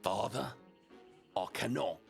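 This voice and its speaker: A man's voice: medium pitch, slow pace, gravelly, faint music behind, pitched above the performer's own voice. A man voicing a precocious, defiant baby boy who talks in pompous, formal phrases. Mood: defiant, firm. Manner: pompous, formal wording peppered with swearing.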